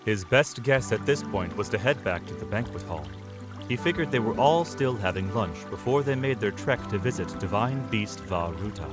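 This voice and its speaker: A man's voice: lowish voice, expressive, normal speed, music in the background. A man narrating an audio drama in a dramatic, scripted storytelling style.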